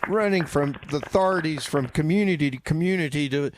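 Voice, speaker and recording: An actor playing a self-important, easily miffed award winner being interviewed in a radio comedy, halting and boastful. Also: male voice, fairly high pitch, a little hiss or hum, natural voice. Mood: reverent, boastful. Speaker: talk show guest.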